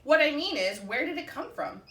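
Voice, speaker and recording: Woman putting on a bossy, excitable cartoonish duck, shrill and loud. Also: normal speed, echoey room. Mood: huffy. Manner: huffy, scolding, loudly exclaiming.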